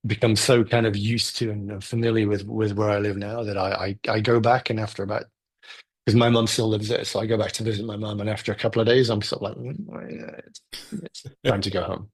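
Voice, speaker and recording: A middle-aged man with an English accent, speaking as a guest in a relaxed interview, thoughtful and prone to restarts. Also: lowish voice, slightly rough. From United Kingdom. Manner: thoughtful, rambling with frequent restarts. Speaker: guest photographer. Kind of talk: casual interview conversation.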